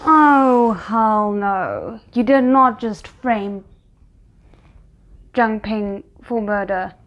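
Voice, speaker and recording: A woman giving casual, expressive reaction commentary on a drama, thinking aloud as she goes. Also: medium pitch, extremely slow, dull audio. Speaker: reaction video host.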